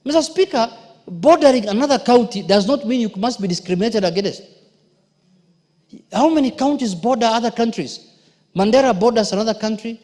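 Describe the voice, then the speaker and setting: A man's voice: very high voice, lively intonation, fairly quick, darkish tone. A man with a Kenyan accent giving an impassioned, indignant, emphatic speech as a member of parliament, repeating phrases for force. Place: indoor parliament chamber. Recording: echoey large debating chamber.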